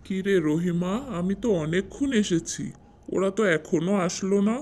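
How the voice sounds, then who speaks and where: A high-pitched uncle from a village cartoon, acted by a man. He is an affectionate older brother, speaking in simple family dialogue. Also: moderate pace, loud music behind the voice, close to an everyday voice. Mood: affectionate, caring.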